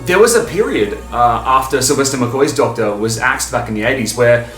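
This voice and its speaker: A man's voice: medium pitch, animated, fairly bright tone, faint music behind. Emphatic man vlogging in a roomy space. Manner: emphatic, stop-start delivery with pauses for breath. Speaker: video host and fan reviewer. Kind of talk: enthusiastic, informal video commentary.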